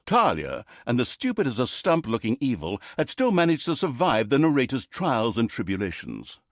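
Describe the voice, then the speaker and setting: A man's voice: medium pitch, no echo, studio quality. A man playing a wry, pompous storyteller narrator in a fantasy game, with grandiose, sarcastic delivery. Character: wry, pompous male storyteller narrator mocking villains and heroes. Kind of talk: theatrical comic storybook narration.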